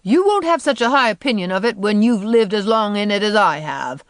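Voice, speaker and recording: A woman's voice: medium pitch, lively intonation, normal speed, dry recording, studio quality, voice pushed up, natural voice. A woman voicing a sour, gloomy spinster in her fifties, scornful and blunt, with folksy rural phrasing, in an audiobook. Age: 50s. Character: sour, contemptuous, gloom-loving 57-year-old spinster. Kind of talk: audiobook character voice.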